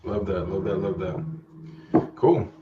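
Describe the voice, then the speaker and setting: A young man co-hosting a podcast, relaxed and affirming as he invites the guests to talk. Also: takes it slowly. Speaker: podcast co-host. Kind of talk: casual chat. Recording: roomy, muffled, faint rumble.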